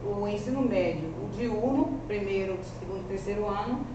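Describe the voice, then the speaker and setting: A woman's voice: mid-range voice, normal speed, highs cut off. A woman in her forties with a strong rural Bahian accent, a teacher presenting her research in an earnest, conversational way. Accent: rural Bahian Brazilian Portuguese. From rural Bahia, Brazil. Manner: earnest, conversational, with frequent restarts. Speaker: teacher and master's student. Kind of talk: research seminar presentation, spoken freely. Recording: echoey, distant, noisy room audio.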